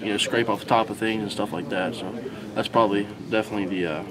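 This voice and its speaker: Young man, slightly low voice, halting, lots of fillers, recorders up close, crowded scrum behind. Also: fairly even intonation, a crowd in the background. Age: young adult. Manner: halting, many fillers and restarts. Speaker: college football linebacker. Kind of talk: post-practice media scrum answers. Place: indoor hallway, crowd of reporters. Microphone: reporters' handheld recorders held close.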